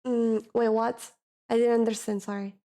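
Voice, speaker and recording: A woman streamer, chatting casually with her viewers, often pausing and correcting herself as she hunts for words. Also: fairly high pitch, level tone, dark tone. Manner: hesitant, searching for words, self-correcting. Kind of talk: casual chat with chat readers.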